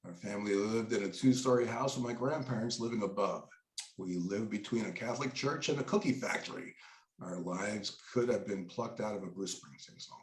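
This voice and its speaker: Middle-aged nj guy, stuffy nose, debate opener, roomy echo, kinda low voice. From New Jersey. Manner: breathy pauses, nervous. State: congested. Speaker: debate candidate. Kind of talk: prepared opening statement.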